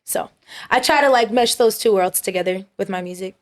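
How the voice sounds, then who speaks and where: A young woman with a high-pitched voice, a singer-songwriter talking casually as an interview guest, relaxed, full of fillers and quick to laugh.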